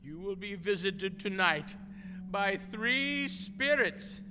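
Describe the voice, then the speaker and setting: Slow echoing tormented ghost. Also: male voice, high-pitched, animated. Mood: solemn warning. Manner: slow, declamatory, mournful. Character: grave tormented male ghost, solemnly warning an old partner. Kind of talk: radio play acting. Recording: long reverb, music underneath.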